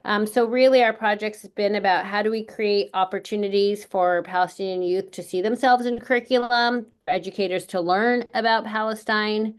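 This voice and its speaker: A middle-aged woman from California, an educator, speaking earnestly and in a measured way at a book launch panel, with frequent pauses and fillers. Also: medium pitch, steady tone, clean tone. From California, United States.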